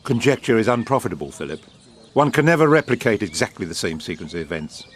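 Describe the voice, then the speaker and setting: Male actor as wartime propaganda official, British, deepish pitch, urbane, eloquent, confident, period radio play, slight outdoor noise with birds. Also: very animated. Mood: brisk, confident. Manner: urbane, eloquent, measured, grandiloquent. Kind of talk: period audio drama acting.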